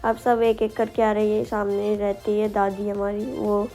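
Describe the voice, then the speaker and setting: A woman's voice: mid-range voice, completely flat delivery, a little slow, dark tone, faint music behind. A young woman with a sore throat, narrating her family's festival rites as a vlog voice-over, explaining each step. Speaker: vlogger.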